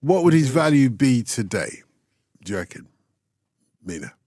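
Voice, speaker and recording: A man's voice: medium pitch, very animated, normal speed, dark tone, no echo, studio quality. A man hosting a radio phone-in, chatting casually, teasing his guests and laughing often.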